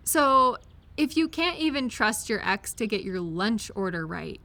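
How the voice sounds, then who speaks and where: A woman acting as an affectionate partner comforting someone close in an audio roleplay. Her voice sits on the high side and she takes her time, speaking very slowly. She talks gently and directly to the listener, encouraging them. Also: heavily acted. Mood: affectionate, playful. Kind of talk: intimate comfort audio roleplay, one-sided conversation.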